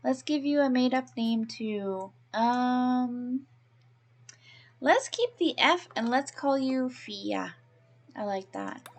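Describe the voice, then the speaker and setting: A woman's voice: high-pitched, expressive, slow. A middle-aged woman from Canada, a native English speaker, casually thinking aloud as she plays a game and narrates it. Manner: chatty, thinks aloud, coos at baby animals. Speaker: let's play host. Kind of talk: casual gameplay commentary.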